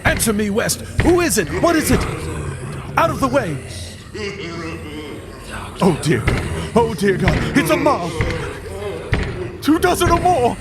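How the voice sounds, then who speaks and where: An actor playing an anxious, earnest doctor and former research assistant in a horror audio drama. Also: male voice, very high-pitched, very animated, measured pace, long pauses, over a noisy crowd, heavily acted. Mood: panicked. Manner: earnest, agitated.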